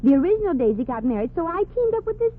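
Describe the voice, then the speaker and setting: A woman's voice: very high-pitched, very animated, very fast, slight background noise. An actress playing a streetwise, sarcastic young showgirl with a brassy, slangy New way of talking, in 1940s radio comedy style. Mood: wry. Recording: tinny old radio broadcast.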